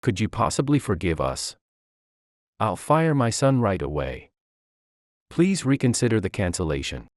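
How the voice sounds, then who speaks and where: Middle-aged male bank president, read by a male narrator, fretful and imploring, animated delivery for audio story. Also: lowish voice, normal speed, pauses often, no room sound, studio quality. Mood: pleading.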